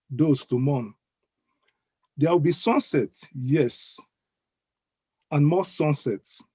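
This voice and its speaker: A man with a croaky voice from the cold, reading his own poem slowly and deliberately on an online call. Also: mid-range voice, dark tone, dry recording. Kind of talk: poetry reading. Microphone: online video call audio.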